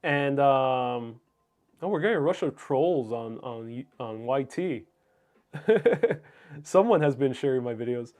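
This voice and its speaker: A man's voice: mid-range voice, expressive, slow pace, a little mellow. A man whose first language is Spanish, hosting a livestream in a casual, musing, somewhat hesitant way as he reads and answers viewer comments. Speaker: livestream host.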